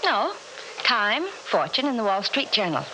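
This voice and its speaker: A woman's voice: a little high, sing-song, a little slow. An actress playing a mature, haughty society woman, formal and scolding, in a 1960s radio drama. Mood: wry, coy. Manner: formal, pompous, crisp reproach. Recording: noisy 1960s radio recording.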